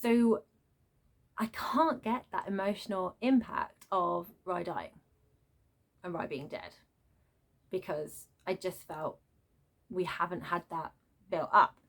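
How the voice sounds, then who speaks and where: A woman's voice: on the low side, takes it slowly, vocal fry, long pauses, fairly bright tone. Woman in an exasperated, casual book rant. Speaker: book reviewer. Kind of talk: unscripted book rant, casual vlog chat.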